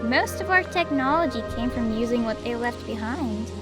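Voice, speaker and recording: A woman's voice: on the high side, expressive, normal speed, lightly acted. A woman playing a friendly young cave lioness girl in an audio drama, caring and chatty and a little awkward. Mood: curious. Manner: caring, chatty, flustered when self-conscious. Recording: background music under the voice.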